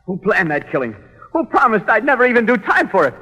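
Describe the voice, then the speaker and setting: An actor playing a bitter, desperate young gangster on death row in old radio melodrama, with rough tough-guy diction and volatile delivery. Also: sits high, sing-song, fast, muffled recording, exaggerated voice. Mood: bitter, accusing. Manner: volatile, swinging from muttering to yelling. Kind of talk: 1930s radio melodrama acting. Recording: old radio recording, echoey cell-block hall.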